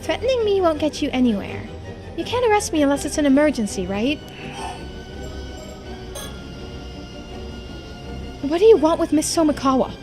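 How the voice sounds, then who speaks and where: A woman's voice: sits high, animated, fast, music in the background. A woman voicing a bold, cheeky and defiant young girl in a video game.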